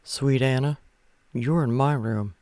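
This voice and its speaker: A man's voice: medium pitch, slow pace, mellow, no room sound, natural voice. A man voicing an earnest, devoted young cowboy groom in a romance novel. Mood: tender.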